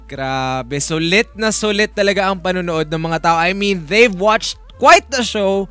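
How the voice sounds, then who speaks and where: A woman's voice: fairly low pitch, expressive, moderate pace, fairly bright tone, clear, resonant tone. A woman with a Filipino accent co-hosting a live esports panel, enthusiastic and emphatic, switching between two languages. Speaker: esports broadcast co-host. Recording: music behind the voice.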